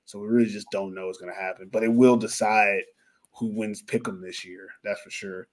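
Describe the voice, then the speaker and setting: A man's voice: lowish voice, fairly even intonation, brisk. A man hosting a sports podcast, chatting casually in a rambling, jokey, self-mocking way. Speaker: podcast host. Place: lives in Finland.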